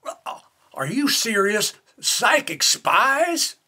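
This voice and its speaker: A man's voice: high-pitched, big pitch swings, drawn-out words, bright, forceful tone, breathy edge, heavily acted. A man playing a brash, skeptical American government official in a radio drama, blunt and scoffing.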